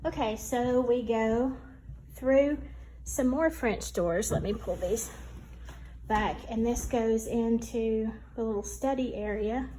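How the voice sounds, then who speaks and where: A woman giving a casual vlog house tour, talking in a halting, gushing, conversational way. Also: fairly high pitch, mellow, slight background noise, poor audio.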